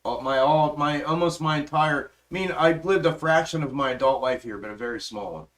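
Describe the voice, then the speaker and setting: A man's voice: on the high side, a little fast, a bit bright. A man hosting a stock market live stream, talking casually and emphatically over his charts, swearing freely and reading chat aloud, grew up in western Pennsylvania but spent his adult life in the South. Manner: profane, emphatic, restless, trails off while working charts. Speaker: stock market livestream host.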